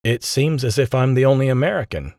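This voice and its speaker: A man voicing a polite, modest American scientist with a mild, soft-spoken manner in an audiobook. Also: mid-range voice, fairly quick, no room sound, studio quality, pitched above the performer's own voice, close to an everyday voice. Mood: modest, impressed.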